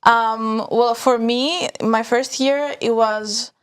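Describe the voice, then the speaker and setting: A young woman from Greece, a university student guest, speaking calmly and softly in casual chat with some hesitation. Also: a little high, takes it slowly, smooth. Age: young adult.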